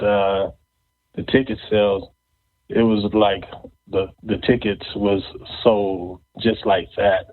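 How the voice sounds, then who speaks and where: Halting low-voiced man on a phone-like line. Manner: halting, hesitant delivery with repeated words. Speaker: podcast host. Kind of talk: casual interview and event announcements. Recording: thin phone-like, band-limited audio.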